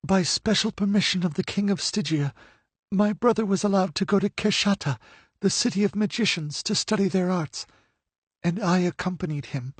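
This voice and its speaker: A man voicing a desperate young noblewoman held captive, pleading in a high, strained voice near tears. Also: moderate pace, breathy edge, no echo. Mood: grieving.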